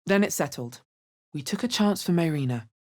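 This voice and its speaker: A very low, husky female adventurer from a fantasy video game. She is wary and dry, delivering her remarks in a measured, understated way. Her rough-edged bass gives her a guarded weight. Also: lively intonation. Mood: sober, wary. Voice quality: bassy, husky. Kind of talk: video game character voice lines.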